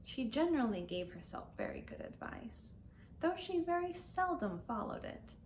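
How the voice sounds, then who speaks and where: A woman teacher reading and talking to language learners, expressive and carefully enunciated. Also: mid-range voice, slight background noise, low-quality recording. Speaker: host and reader, English teacher. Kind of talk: storybook read-aloud for language learners.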